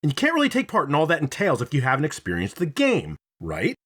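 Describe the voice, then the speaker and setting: Husky, lively man narrating a video essay. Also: a little high. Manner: dramatic, playful, emphatic phrasing with drawn-out words. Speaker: video game reviewer.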